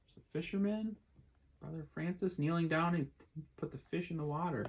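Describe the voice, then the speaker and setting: A man, a pastor, reading and talking to children in an expressive storytime style. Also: fairly high pitch, normal speed, slight background noise, rough recording. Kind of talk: expressive storybook read-aloud with casual asides.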